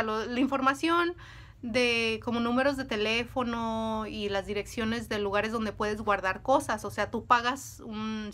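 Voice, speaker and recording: A woman, telling a true crime story to the camera at home, animated and speaking straight to her viewers. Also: fairly high pitch. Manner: animated, talks with her hands, addresses viewers as friends. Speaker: storytime vlogger. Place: indoors at home, in front of a dresser. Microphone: camera at close range.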